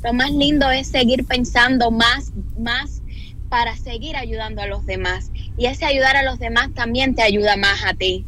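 A woman from Cuba speaks as a guest on a radio show, earnest and devotional as she talks about her calling. Her voice is bright and fairly high. She joins over a remote call connection. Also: normal speed, slight background noise, rough recording.

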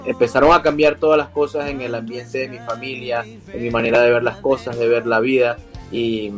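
Young Venezuelan male singer, remote guest, hesitant and filler-heavy, soft music under him. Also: mid-range voice. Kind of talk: interview over a video call. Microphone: video call.

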